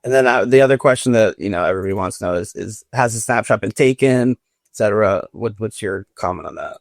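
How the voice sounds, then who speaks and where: A man's voice: on the low side, fast, dry recording, studio quality. A man hosting a podcast, asking laid-back, slangy questions. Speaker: podcast host. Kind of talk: casual podcast interview.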